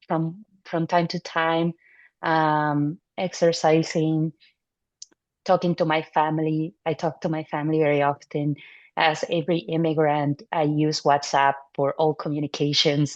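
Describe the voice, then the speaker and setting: A woman in her thirties from Venezuela, a neurology resident, answering interview questions in a relaxed, thoughtful way with fillers and restarts. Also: low voice, dry recording. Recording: muffled dry audio.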